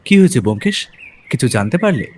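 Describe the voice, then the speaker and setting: A man playing a detective's curious male companion in an audio drama, asking questions in an eager, animated way. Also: mid-range voice, fast talker. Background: background music.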